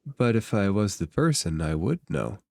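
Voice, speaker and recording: A man voicing a courteous, enigmatic stranger in a tabletop space fantasy game, formal, unhurried and ingratiating. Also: lowish voice, sing-song, creaky, darkish tone, dry recording, clean studio sound, pitched below the performer's own voice. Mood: friendly, sly.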